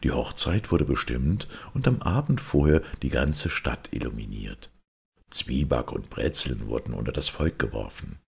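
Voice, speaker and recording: Deep, gravelly male storyteller. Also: animated, moderate pace. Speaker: storyteller, audiobook reader. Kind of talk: expressive fairy-tale narration.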